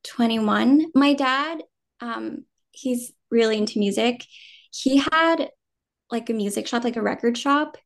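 A woman's voice: a little high, measured pace, vocal fry. A woman in her thirties from New Jersey, raised speaking Vietnamese first, now with an American accent, chatting casually and loosely in an interview. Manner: relaxed, rambling, many "um" and "like", laughs easily. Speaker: guest actor.

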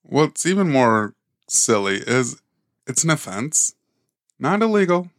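A man's voice: medium pitch, slow, no echo. Man co-host with dry one-liners. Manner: laughs often, adds deadpan one-liners. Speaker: podcast co-host. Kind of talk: casual comedic chat.

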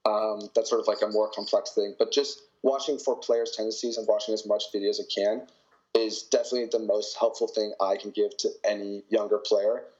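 A young man from Canada, a college volleyball player, answering interview questions in a relaxed way with many fillers. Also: on the low side, brisk, muffled recording. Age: young adult.

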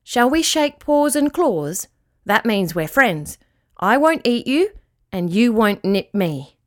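A woman voicing a friendly old male dog in a children's story, speaking gently, politely and reassuringly. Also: fairly high pitch, very animated, slow. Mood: kind.

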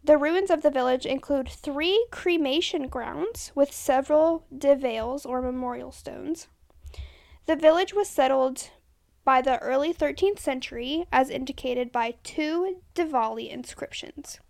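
High, gravelly woman reading notes. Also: measured pace, darkish tone. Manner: reads from notes, stumbles over names and self-corrects. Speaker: podcast co-host. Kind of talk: casual chat reading history notes.

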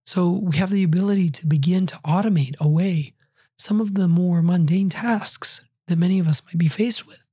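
A hoarse, husky-voiced middle-aged man talks through a solo podcast episode, still getting over a cold and flu. His tone is dark and mellow, conversational with dry, wry asides. The sound is close and dry, with no room around it. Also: high-pitched, normal speed, no room sound. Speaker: podcast host.

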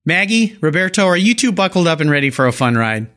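High, animated male podcast host. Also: quick pace. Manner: enthusiastic, playful car puns. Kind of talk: upbeat interview hosting.

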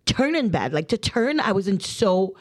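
A woman's voice: medium pitch, very animated, normal speed. A woman from Trinidad co-hosting a podcast, telling stories in a chatty, laughing way with lots of "like". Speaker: podcast co-host. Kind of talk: casual chat.

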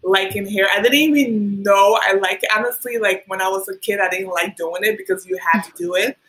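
Woman from liberia chatting as a guest, lots of ums and likes, mid pitch. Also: brisk, a bit bright, low-quality recording. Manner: hesitant, many ums and likes. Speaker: guest, hair stylist. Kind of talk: casual interview.

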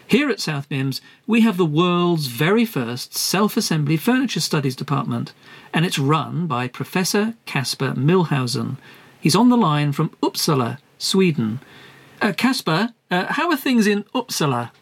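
Guy hosting a podcast, deadpan, halting, lots of ums. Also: on the high side, husky. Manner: dry, halting, with many fillers. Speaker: podcast host. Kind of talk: scripted comedy podcast interview.

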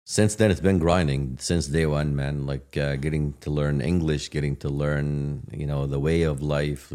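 A raspy-voiced man, a guest telling his story in a loose, reflective way with many fillers. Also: a bass voice, mellow. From Palestine. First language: Arabic. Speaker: guest, real estate agent. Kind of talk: casual interview chat.

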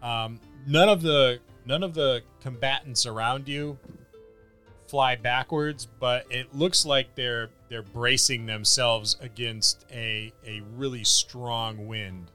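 A man narrating a tabletop fantasy game as game master, describing the action vividly and haltingly. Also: medium pitch, fairly even intonation, sharp tone, clear, resonant tone. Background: background music.

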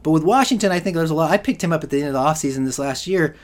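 A man's voice: fairly high pitch, animated, racing. A man hosting a solo fantasy football podcast, rambling with lots of restarts and fillers, and he is losing his voice. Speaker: podcast host.

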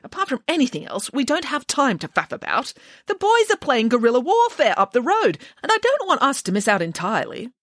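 Casting: woman to play indignant pig nanny for a children's story, high, swooping pitch, outspoken and bossy. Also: wildly swooping pitch, brisk, a bit bright, no room sound, clean studio sound, full character voice. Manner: emphatic, outspoken, exclamatory.

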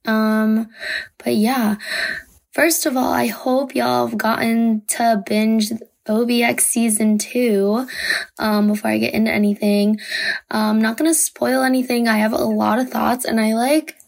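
Hoarse young woman hosting a podcast. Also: fairly high pitch, little pitch movement, measured pace, a little mellow. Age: young adult. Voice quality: raspy. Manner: chatty, many fillers and "like". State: hoarse from a cold. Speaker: podcast host. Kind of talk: casual solo podcast chat.

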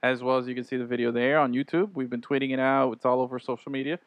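A man's voice: mid-range voice, level tone, fast, no room sound. A man hosting a casual chat, laid-back and slangy, laughing often. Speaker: podcast host.

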